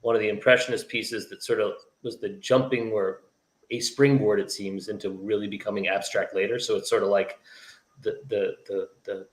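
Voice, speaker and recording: A man speaking as an interview guest over a video call, measured and thoughtful. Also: fairly low pitch, steady tone, echoey room. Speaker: guest, law firm founder. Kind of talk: conversational interview.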